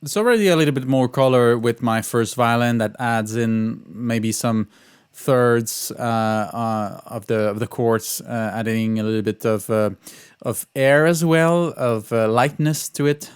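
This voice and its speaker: Male composer-instructor, mid-pitched, smooth clear tone, informal teaching delivery with hesitant, think-as-you-go phrasing. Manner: halting, thinking aloud. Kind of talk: informal tutorial explanation of own music.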